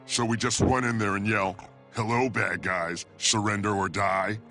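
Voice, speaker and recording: Low voiced cocky beast recruit in a video game, terse and defiant, music behind. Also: male voice, steady tone, normal speed, pushed and bright. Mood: defiant, sardonic. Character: bold young charr soldier recruit, defiant and eager.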